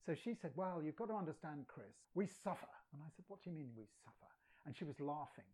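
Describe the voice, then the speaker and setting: A man from England recounts his story as an interview guest, easygoing and chatty. His voice is mellow and warm, and he breaks into laughter as he tells his anecdotes. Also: fairly high pitch, animated, fast. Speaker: guest poet and translator. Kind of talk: casual storytelling.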